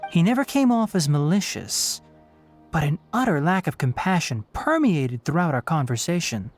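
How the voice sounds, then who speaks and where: An actor playing a young, earnest and principled scholar in a video game, speaking in a measured, formal, guarded way. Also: male voice, a little high, sing-song, faint music behind. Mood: concerned, reflective.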